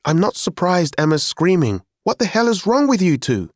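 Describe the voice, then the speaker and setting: An outspoken, exasperated young brother acted by a man in an audiobook story. He scolds forcefully, with his voice pitched well up. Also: very animated, moderate pace, husky, no room sound, studio quality, full character voice.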